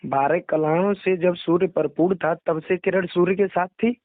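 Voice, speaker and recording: Casting: young man, higher-pitched, respectful explainer, conversational, heard down a narrow phone line. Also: steady tone, unhurried, dry recording. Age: young adult. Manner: deferential, explanatory. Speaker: religious storyteller (kathavachak). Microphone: phone call. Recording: recorded phone call, narrow dry sound.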